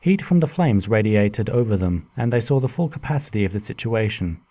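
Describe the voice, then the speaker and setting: A man narrating a scripted story in an expressive, dramatic way. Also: lowish voice, a little fast.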